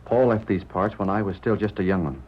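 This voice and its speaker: An actor playing a self-doubting Old West rancher, folksy and hesitant, with an American Western accent in a radio drama. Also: male voice, fairly low pitch, fairly quick, slight background noise, natural voice. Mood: resigned, ashamed. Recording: muffled vintage radio drama.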